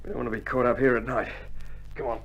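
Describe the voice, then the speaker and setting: Cheerful Australian digger, medium pitch, laconic, steady, 1960s radio play, faint background noise, vinyl recording. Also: male voice, quick pace, gravelly. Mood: wary, dogged. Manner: laconic, matey, down-to-earth. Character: cheerful, steady, laconic infantry soldier under fire. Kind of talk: 1960s radio drama acting. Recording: noisy old vinyl radio drama recording.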